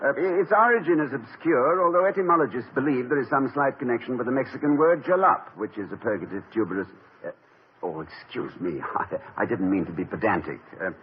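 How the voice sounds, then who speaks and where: An actor playing a genteel, pedantic middle-aged college president who hesitates and stammers, in 1950s radio comedy style. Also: male voice, medium pitch, a little fast, background noise, lightly acted. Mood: wry. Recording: dull lo-fi 1950 radio broadcast.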